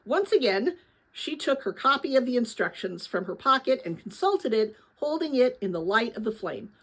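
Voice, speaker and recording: A woman reading a children's novel aloud as host, with expressive, careful phrasing. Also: a little high, normal speed, poor audio. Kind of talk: dramatic storybook read-aloud.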